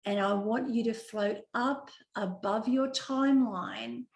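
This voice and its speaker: Slow, echoey woman guiding a visualization. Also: a little high. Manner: slow, soothing, repetitive guiding prompts. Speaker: coach leading a group visualization. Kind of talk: guided visualization. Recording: roomy echo.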